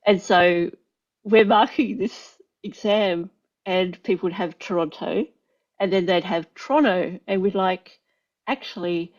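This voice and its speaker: Chatty Australian woman, lo-fi audio. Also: fairly low pitch. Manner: chatty, animated storyteller with many ums. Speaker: host, linguistics teacher. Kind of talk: casual chat. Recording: slightly dull lo-fi audio.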